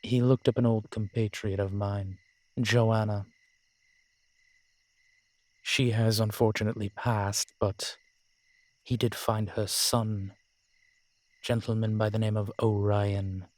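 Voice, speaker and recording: Ancient proud vampire lord, played by a man: low, very slow, courtly speech for audio roleplay, dry close sound. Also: level tone, darkish tone, no echo. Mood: grave. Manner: slow, formal, courtly diction. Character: ancient proud male vampire, formal and grave. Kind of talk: dramatic audio roleplay acting.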